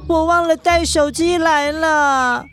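A man voicing an excitable, curious young boy in a children's fairy tale, exclaiming with wonder. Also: very high-pitched, slow, soft background music, pitched above the performer's own voice, heavily acted. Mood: excited.

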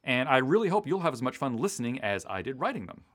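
A man's voice: mid-range voice, big pitch swings, fast talker, fairly bright tone. An American man, a podcast co-host and writer, talks in an articulate, explanatory way in a conversational discussion.

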